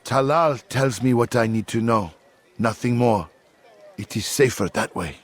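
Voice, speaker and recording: A man's voice: medium pitch, a little slow, close to an everyday voice. An actor playing a frightened, guarded henchman in a video game, answering questions tersely and reluctantly. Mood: grudging, fearful. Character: guarded, frightened male henchman under interrogation.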